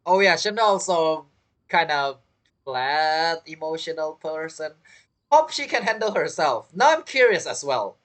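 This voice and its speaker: Low-pitched, lively woman gamer. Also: unhurried. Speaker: game streamer. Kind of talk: casual reaction commentary over gameplay. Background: faint game music.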